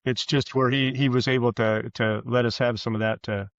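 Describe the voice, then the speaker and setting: A man giving conversational interview answers as a guest author, in a hesitant, halting way, often correcting himself. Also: medium pitch, little pitch movement, no echo, highs cut off.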